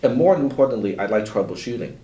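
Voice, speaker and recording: A Cantonese-speaking man, a college instructor, talking in a relaxed, rambling way full of "you know" in a panel discussion. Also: medium pitch, fast talker, highs cut off. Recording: roomy echo, far from mic.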